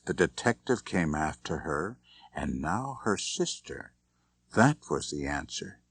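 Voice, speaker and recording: Deep-voiced male suspense narrator. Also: very animated, takes it slowly, gravelly, breathy edge, no echo. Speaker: audiobook narrator. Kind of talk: dramatic scripted narration of a suspense novel.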